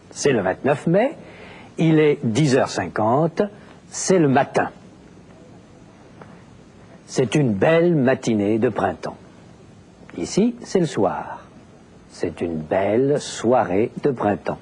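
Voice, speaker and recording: A man narrating a language lesson, explaining deliberately with very clear enunciation. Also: medium pitch, darkish tone. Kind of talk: scripted teaching narration.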